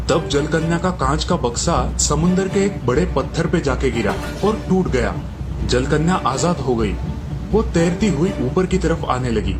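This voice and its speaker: Male storyteller over prominent music. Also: on the high side. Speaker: narrator. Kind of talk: scripted storytelling narration.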